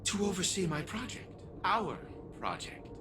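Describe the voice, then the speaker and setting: Pompous old ai patriarch in a video game, condescending and measured, music behind him. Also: male voice, high voice, big pitch swings. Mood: scornful. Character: arrogant, condescending old male patriarch, a machine mind.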